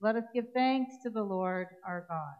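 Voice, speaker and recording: A middle-aged woman priest leading a church service, reading set prayers in a measured, solemn way. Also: medium pitch, animated. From Canada. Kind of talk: liturgical reading. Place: church sanctuary with congregation. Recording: dull lo-fi livestream sound.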